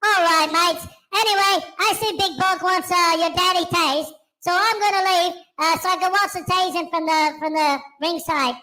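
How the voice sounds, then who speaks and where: A man voicing a friendly, halting kangaroo puppet with a put-on Australian accent on a live stream. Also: very high-pitched, steady tone, pushed and bright, exaggerated voice. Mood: upbeat, matey. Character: friendly male kangaroo puppet, laid-back Australian mate.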